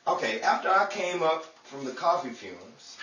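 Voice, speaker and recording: A man plays a befuddled comic sidekick in an old-time radio show. His voice is fairly high and excitable, coming from far off the mic in an echoey room with clear background noise. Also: normal speed, muffled recording. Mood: bemused storytelling. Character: Mason, befuddled wisecracking sidekick in 1930s radio comedy. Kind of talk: 1930s radio comedy acting.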